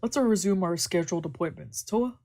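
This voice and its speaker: A woman's voice: lowish voice, expressive, a little fast, darkish tone. A woman streamer reading a visual novel aloud, with playful, slangy asides in casual speech. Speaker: streamer doing a visual novel let's play. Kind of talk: reading game text aloud with casual reactions.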